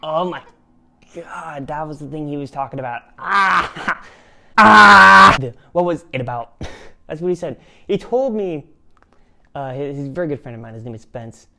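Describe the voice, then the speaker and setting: A man's voice: a little high, animated, normal speed, gravelly, bright, forceful tone. Rambling self-mocking male host. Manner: rambling, self-mocking, frequent laughs and restarts. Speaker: channel host. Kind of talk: casual reaction commentary.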